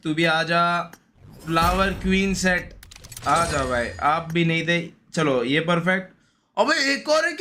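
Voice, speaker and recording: High-pitched man streaming a game. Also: sharp tone, a little hiss or hum. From India. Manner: slangy, pleading, repeats short phrases. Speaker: gaming streamer. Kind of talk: casual live gaming commentary.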